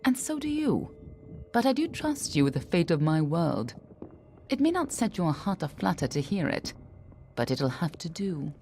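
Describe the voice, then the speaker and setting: A stern guarded warrior lady in a fantasy game, low mellow voice, measured and serious. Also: big pitch swings, soft background music. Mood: cool, firm. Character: stern, guarded warrior woman, keeper of a deadly secret. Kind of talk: dramatic fantasy game dialogue.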